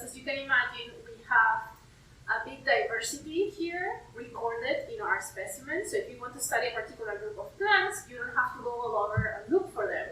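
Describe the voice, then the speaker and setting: A woman's voice: fairly high pitch, sharp tone. A woman from Peru speaking as a curator in an informal interview, explaining things in simple, everyday terms. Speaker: university herbarium curator and plant taxonomist. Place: indoor herbarium room. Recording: echoey room, distant mic, faint noise.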